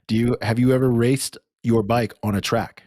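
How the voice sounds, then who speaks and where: A man's voice: mid-range voice, fairly even intonation, normal speed, mellow, dry recording, clean studio sound. A man hosting a podcast interview in a casual, chatty, effusive and informal way. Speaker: podcast host.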